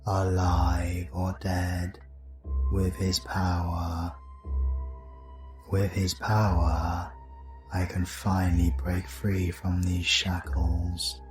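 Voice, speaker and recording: A man playing a calm, scheming demon lord in a fantasy audio drama, speaking in a slow, deliberate, commanding way. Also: low-pitched. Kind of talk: fantasy audio roleplay voice acting.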